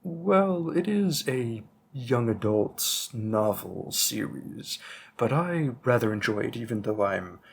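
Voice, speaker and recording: Guy doing an old-fashioned bookstore keeper whos been around ages, slow n rambly, bit of husk, polite, audio rp. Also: mid-range voice, expressive, gravelly, breathy edge, exaggerated voice. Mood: bookish, helpful. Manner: polite, hesitant, rambling, gently formal. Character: long-lived, bookish, old-fashioned male bookstore keeper, politely stalling. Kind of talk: scripted roleplay audio drama, addressing the listener.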